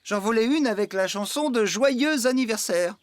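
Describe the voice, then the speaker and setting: Casting: forgetful, likeable farmhand lad for kids' animation, male, lively swoopy delivery, hesitant and apologetic. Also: high voice, moderate pace, no echo. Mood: sheepish, flustered. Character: bumbling, good-natured, forgetful young farmhand man. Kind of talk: French children's cartoon dub acting.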